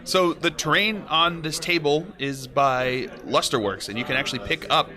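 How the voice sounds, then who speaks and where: Boisterous bright-voiced male commentator. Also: on the high side, moderate pace. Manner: boisterous, laughs often. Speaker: tournament stream commentator. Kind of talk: casual live commentary.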